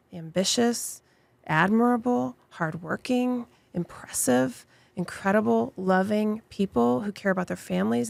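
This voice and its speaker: A woman's voice: mid-range voice, creaky, no room sound. A woman immigration attorney being interviewed, speaking with passion and emphasis and stacking points into long lists. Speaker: immigration attorney and law firm owner. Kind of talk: interview guest answering questions.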